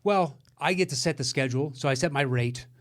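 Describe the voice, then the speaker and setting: A man's voice: fairly high pitch, a little fast. An American man co-hosting a casual film discussion, talking bluntly and swearing often. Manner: blunt, exasperated, frequently swearing. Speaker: podcast co-host.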